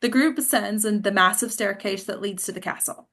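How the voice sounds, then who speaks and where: A woman's voice: medium pitch, a little fast, a bit bright. A woman reading stage directions aloud as scripted narration.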